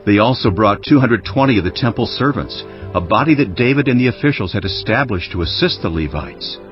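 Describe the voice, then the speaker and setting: Male Bible narrator, low register, very animated intonation, solemn dramatized delivery over music. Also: a little fast. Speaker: scripture narrator. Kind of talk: dramatized Bible narration, first-person scribe's account. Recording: dull lo-fi audio with music bed. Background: background music.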